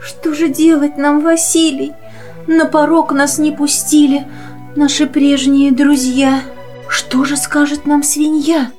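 A woman's voice: sits high, flat delivery, slow, darkish tone, faint music behind. A woman playing a homeless, genteel female cat pleading for shelter in a children's audio play. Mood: weary, sad.

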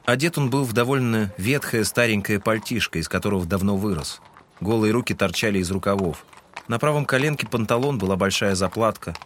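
A man's voice: on the low side, fairly quick, fairly bright tone, slight background noise. A man giving scripted literary narration in an audio drama. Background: music.

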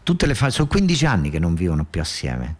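A man's voice: low-pitched, huge pitch swings, fast. A man with a southern Italian accent performing a radio theatre monologue as a folksy storyteller, emphatic and repetitive in a colloquial way. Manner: repetitive, emphatic, rambling folk-storyteller delivery.

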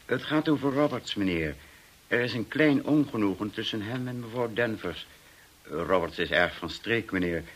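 An actor in a Dutch radio drama playing a formal, deferential manor butler who speaks precisely and politely. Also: male voice, sits low, a bit bright, slightly rough.

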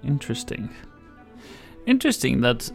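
A man's voice: medium pitch, sing-song, normal speed, gravelly. A man whose first language is Portuguese, hosting a casual radio show and rambling hesitantly, often repeating words. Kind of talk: casual commentary over music. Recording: loud music playing under voice. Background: classical viola and piano music.

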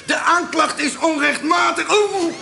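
A man's voice: very high-pitched, a little slow, vocal fry, exaggerated voice. An actor playing an indignant, frantic prisoner who protests his innocence in a period radio drama. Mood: frantic, protesting. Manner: shouting, pleading indignantly. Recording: echoey room, loud background noise.

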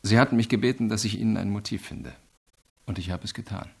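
A man playing an earnest, reflective investigator in a radio crime drama, explaining things in a measured, deliberate way. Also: fairly low pitch, vocal fry, a little mellow, husky. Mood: earnest, intense. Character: earnest, reflective male investigator reporting to his superior.

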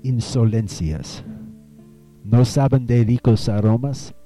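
An actor playing a proud, easily offended nineteenth-century German settler in a radio drama, speaking formally and deliberately like a storyteller. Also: male voice, medium pitch, warm tone, soft background music, lightly acted. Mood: indignant, nostalgic.

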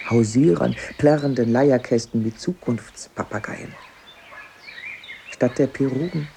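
Male poetry reader, very unhurried, dark mellow tone with a creaky edge. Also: medium pitch, a little hiss or hum. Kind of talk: slow literary poetry recitation.

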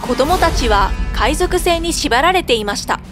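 A woman's voice: high voice, lively intonation, normal speed, music in the background. A woman narrating a scripted children's fairy tale as a storyteller.

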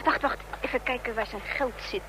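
An actress playing a quick-witted, playful woman on the run in a Dutch radio play. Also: on the high side, close to an everyday voice. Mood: coaxing, play-acting. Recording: noisy old radio drama recording.

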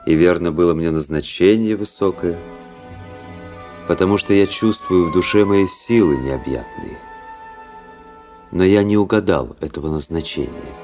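A cold, world-weary young officer in a literary radio play. His voice is low and measured, turned inward with a mocking, ironic edge. Music plays clearly behind him. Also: darkish tone. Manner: measured, introspective, ironic delivery. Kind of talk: literary radio drama monologue and dialogue.

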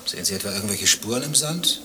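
A man's voice: lowish voice, expressive, fast, bright, forceful tone, slight background noise. An actor playing a contrarian, dryly philosophical zoo visitor in a radio play, pedantic and probing in his talk. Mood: dry, dismissive.

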